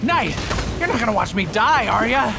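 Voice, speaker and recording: Brash cowardly thief, lively swooping voice, game music behind. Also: male voice, very high voice, moderate pace, creaky, heavily acted. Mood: panicked. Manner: brash, whiny when scared. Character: cocky, cowardly male treasure thief. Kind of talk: video game voice acting.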